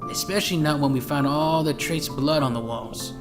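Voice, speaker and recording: A man playing an eager, excitable police detective in an audio drama. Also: fairly high pitch, moderate pace, music in the background, lightly acted. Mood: accusing.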